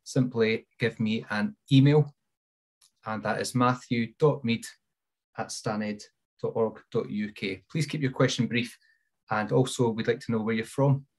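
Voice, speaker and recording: Mid-pitched man moderating an online event. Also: steady tone, measured pace. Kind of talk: scripted introduction of a speaker. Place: indoors, on a video call. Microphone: wired earphone mic on a video call.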